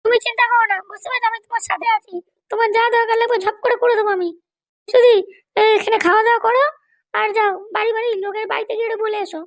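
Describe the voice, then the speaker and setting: A woman's voice: very high voice, fairly even intonation, racing. A woman voicing a bossy, vengeful female politician and scolding wife in a comedy cartoon, haranguing and boastful. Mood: reassuring.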